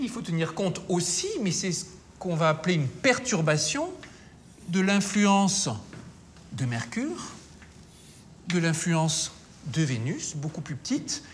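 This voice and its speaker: A man explains science for a documentary, his voice slightly high. He builds the picture step by step, with small hesitations. Faint noise sits in the background. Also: sing-song, measured pace.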